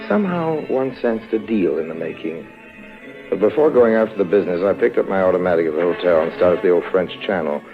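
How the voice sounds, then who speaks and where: A suave, sardonic con man narrating his misadventures in a vintage radio drama. The low, urbane voice comes through a muffled old recording with faint music. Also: expressive, brisk. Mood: wry narration. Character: suave, wry male con-artist adventurer.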